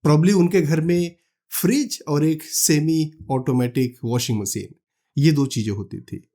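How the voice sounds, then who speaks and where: A man from India calmly explaining retirement money planning step by step, talking the listener through numbers and examples. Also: fairly high pitch, measured pace. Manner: calm, practical, walks through numbers step by step. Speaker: finance educator. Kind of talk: explanatory talk to camera.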